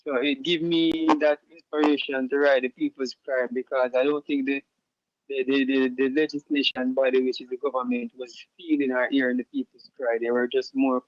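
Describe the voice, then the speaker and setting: A man's voice: mid-range voice, level tone, moderate pace, no echo. Easygoing Jamaican man, slightly muffled. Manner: easygoing, many fillers and restarts, patois shout-outs. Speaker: musician guest. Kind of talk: casual interview answers.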